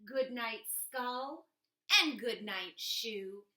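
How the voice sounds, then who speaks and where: Woman, teacher reading to children, high bright voice, expressive, encouraging, roomy echo. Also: very slow. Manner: expressive, encouraging, asks the listener questions. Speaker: elementary school teacher. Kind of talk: children's picture-book read-aloud. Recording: echoey room.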